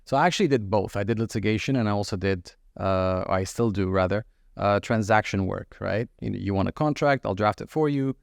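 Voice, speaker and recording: Low gravelly guy from the uae, lawyer talking it through with lots of uhs, dry close sound. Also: dry recording. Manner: measured, with frequent fillers and restarts. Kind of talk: podcast interview answers.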